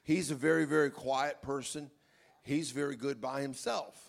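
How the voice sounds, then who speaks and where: A man's voice: medium pitch, fairly even intonation, moderate pace, vocal fry. A man preaching as pastor, who questions a couple on stage and turns emphatic as he drives his points home. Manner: emphatic, swings from quiet to loud. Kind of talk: sermon with interview on stage.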